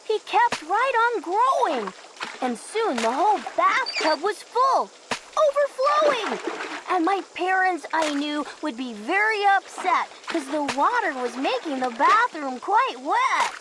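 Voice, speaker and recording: A woman with a very high voice reads a children's story aloud. Her delivery is slow and dramatic, with lively swings in pitch. There is clear noise behind her. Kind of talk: expressive children's picture book read-aloud.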